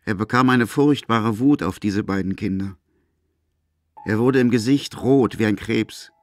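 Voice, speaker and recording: A man's voice: lowish voice, a little slow, dry recording. A man narrating a literary audio drama from a script.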